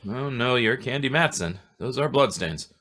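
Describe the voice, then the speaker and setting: A man playing a matter-of-fact homicide inspector with halting speech in a radio drama. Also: medium pitch, expressive, bright, forceful tone. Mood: businesslike. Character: businesslike male homicide police inspector. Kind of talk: old-time radio drama acting.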